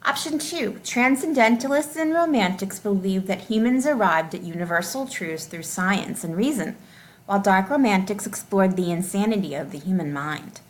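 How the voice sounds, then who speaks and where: A woman with an average-pitched voice reads out a test like a teacher. Her delivery is deliberate and enunciated, with the numbered questions and their options kept clearly separate. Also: expressive. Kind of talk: reading test questions and answer options aloud.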